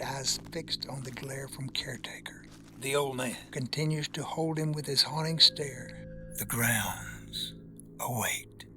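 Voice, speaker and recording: A man giving scripted narration for an audio drama in a measured, deliberate storytelling manner. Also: mid-range voice.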